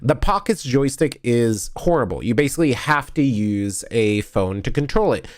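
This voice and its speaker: A man's voice: medium pitch, lively intonation, normal speed, no echo. Chatty, sniffly man streaming from a dry room. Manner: rambling, self-correcting, chatty with restarts. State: sniffly and tired. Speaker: vlogger streaming a convention video review. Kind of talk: casual live-stream chat while editing.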